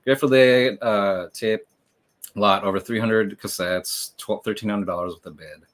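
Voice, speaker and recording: A man hosting a livestream, explaining things casually in a meandering way with lots of hesitant filler pauses. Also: lowish voice, fairly even intonation. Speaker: livestream host and reseller.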